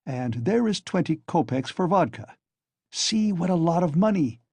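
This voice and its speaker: A man's voice: on the high side, expressive, normal speed, a little mellow, slightly rough, dry recording, voice pushed up. A man reading an audiobook, voicing a feverish, bitter young male student who taunts with venom. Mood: feverish mocking.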